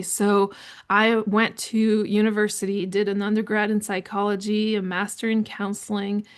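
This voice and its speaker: A middle-aged woman with a French Canadian accent, a native French speaker from New Brunswick, hosting a podcast and telling her life story in a candid, reflective way with frequent ums and restarts. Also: mid-range voice. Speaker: podcast host. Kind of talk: personal storytelling monologue.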